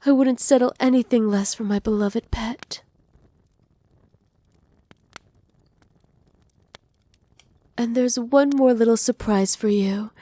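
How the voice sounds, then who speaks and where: A woman playing a doting, possessive witch in an ASMR roleplay, speaking softly with teasing, condescending affection. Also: on the high side, normal speed, dry recording, lightly acted. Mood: delighted, teasing. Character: doting, possessive witch mistress pampering her captive pet. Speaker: voice actor.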